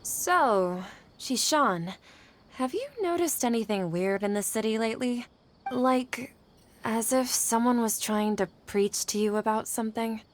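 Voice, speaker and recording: A woman's voice: fairly high pitch, a little slow, slight background noise, close to an everyday voice. A woman playing an earnest, dutiful young female scholar in a video game, hesitant when pressed. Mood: uneasy.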